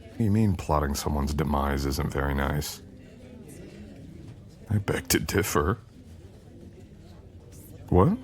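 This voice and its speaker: A man playing a menacing, possessive supernatural boyfriend in a romantic ASMR roleplay, speaking in an intimate, brooding and often breathy way. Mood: scheming, dry. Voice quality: deep, gravelly, often breathy and whispered. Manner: slow, brooding, teasing intimate delivery.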